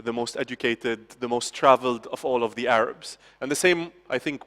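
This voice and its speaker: Man, conference speaker, chatty, asks "okay?", middle pitch, echoing hall. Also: fairly quick, fairly bright tone. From Lebanon. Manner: conversational, frequent "okay?" asides and restarts. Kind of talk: conference talk. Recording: hall reverb from a conference stage.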